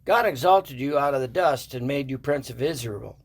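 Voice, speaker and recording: A man's voice: medium pitch, animated, normal speed, music in the background, close to an everyday voice. A man voicing a stern divine prophecy of doom in an audio Bible drama, declaiming and condemning. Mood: stern, wrathful.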